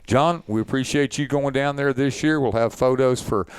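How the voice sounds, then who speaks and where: A man's voice: mid-range voice, moderate pace, clean tone, no echo. A man leading an information session as an organizer, informal but firm, direct and instructive. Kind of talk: informal explanatory presentation.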